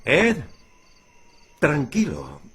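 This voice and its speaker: An actor playing a commanding, shaken sheriff in a radio horror drama. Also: male voice, a little high, wildly swooping pitch, very slow, a bit bright, breathy edge, dry recording, heavily acted. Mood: calming, tense. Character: commanding male sheriff, steady but shaken.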